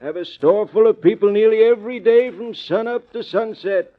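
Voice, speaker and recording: Male actor as hesitant rural shopkeeper, high-pitched, plain-spoken; 1940s radio style, thin tinny sound. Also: normal speed, natural voice. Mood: easygoing. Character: uneasy small-town male general store keeper. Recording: tinny, faintly noisy 1940s radio recording.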